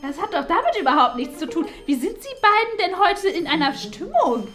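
Shrill, haughty old schoolteacher. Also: female voice, sing-song, fairly quick, a bit bright, soft background music, heavily acted. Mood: indignant. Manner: pompous, shrill, indignant. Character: haughty, scolding elderly female school teacher. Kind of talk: improvised comedy sketch acting. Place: small meeting room.

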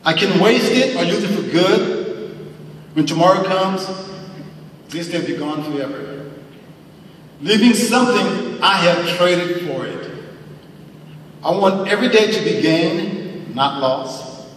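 A man's voice: sits high, fairly bright tone, slight background noise. A middle-aged man giving an earnest acceptance speech at a podium in a large banquet hall, heard from the audience. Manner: earnest, slow emphatic phrasing with thanks and faith. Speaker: hall of fame inductee. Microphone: podium mic, recorded from far in the audience. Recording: echoey hall audio from far off.

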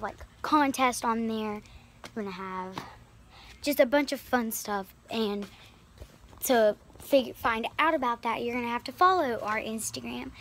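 High-voiced rambling girl vlogger outdoors. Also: a little hiss or hum. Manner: rambling, filler-heavy, upbeat. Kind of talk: casual vlog chat. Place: outdoors by a small creek.

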